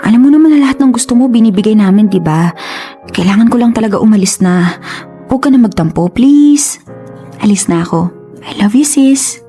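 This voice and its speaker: A woman's voice: a little high, lively intonation, brisk, pauses often, warm tone, close to an everyday voice. A woman with a Filipino accent playing a young, guilt-ridden older sister in a radio drama, hesitant and pleading as she makes excuses. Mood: coaxing, apologetic. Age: young adult. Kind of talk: Tagalog radio drama acting. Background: music.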